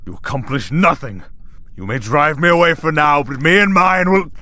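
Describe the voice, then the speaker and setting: A man voicing a menacing, contemptuous demon villain with a gravelly, raspy voice in an ASMR roleplay drama. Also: on the high side, lively intonation, normal speed, pushed and bright, voice pushed up.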